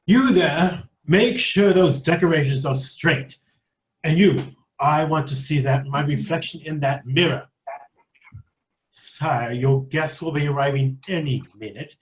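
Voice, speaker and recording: A man's voice: on the high side, measured pace, natural voice, rough recording. A man playing an officious, sly royal advisor in a children's comedy play, with a formal, obsequious manner. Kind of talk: staged reading of children's theater comedy.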